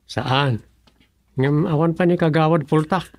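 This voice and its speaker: An actor in an Ilocano radio comedy drama playing a grumbling, sarcastic village councillor with a rough voice. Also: mid-range voice, unhurried, a little mellow, natural voice.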